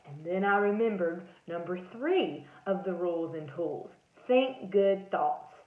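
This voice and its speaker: A woman's voice: animated, measured pace, a little hiss or hum. Lady doing a dramatic scaredy bookmark guy for a kids book, super up and down, bit muffled and echoey. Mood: calming, determined. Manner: theatrical, rising from hushed suspense to high cries. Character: once-terrified male storybook bookmark, dramatic, earnest, plucky. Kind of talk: animated picture-book read-aloud. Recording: roomy echo, slightly dull lo-fi sound.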